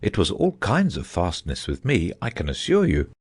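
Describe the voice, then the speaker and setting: A man voicing a solemn, dreamy old knight who rambles about his inventions, in a husky voice. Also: moderate pace, heavily acted. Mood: musing. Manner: rambling, thoughtful, lively pitch swings.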